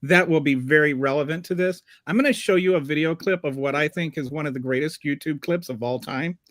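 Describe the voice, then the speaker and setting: A man hosting a casual podcast interview, chatty and rambling with halting restarts. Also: a little high, fairly bright tone, no room sound, clean studio sound. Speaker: podcast host.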